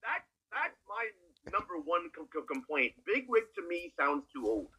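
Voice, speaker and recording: A man's voice: high-pitched, very animated, moderate pace, sharp tone, husky. A man co-hosting a podcast in casual discussion, eagerly talking and often repeating the starts of his sentences. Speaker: podcast co-host.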